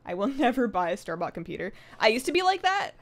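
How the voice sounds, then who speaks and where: A woman in her twenties chatting casually on a live game stream, rambling along in a bubbly, animated and giggly way. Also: a little high, fast. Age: 20s. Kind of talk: casual live stream chat while gaming.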